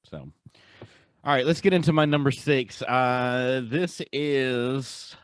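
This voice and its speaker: A man's voice: mid-range voice, takes it slowly, clear, resonant tone, no echo, studio quality. A man hosting a podcast, chatting casually about pop culture. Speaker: podcast host.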